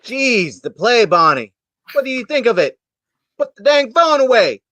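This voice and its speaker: A woman's voice: on the high side, sing-song, normal speed, a bit bright, dry recording. A woman playing a blunt, contrary, folksy small-town man in an amateur play reading. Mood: gruff, impatient.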